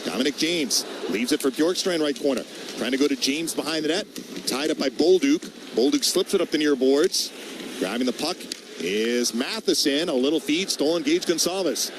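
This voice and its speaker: A man's voice: fairly high pitch, animated, normal speed, background noise. A man doing live radio play-by-play of ice hockey in short, clipped running calls.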